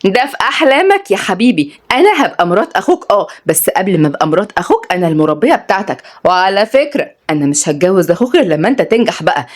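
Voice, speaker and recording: A woman with an Egyptian accent voicing a young veiled woman who is caring and playfully bossy, with fast delivery. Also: mid-range voice, very animated. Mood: teasing. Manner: anxious then playfully bossy.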